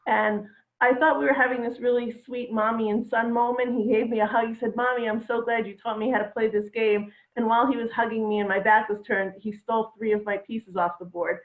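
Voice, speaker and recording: A woman's voice: on the high side, fairly even intonation, brisk. A middle-aged woman performing a stand-up comedy routine from home, delivering dry, self-deprecating one-liners. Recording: echoey, phone-like home recording.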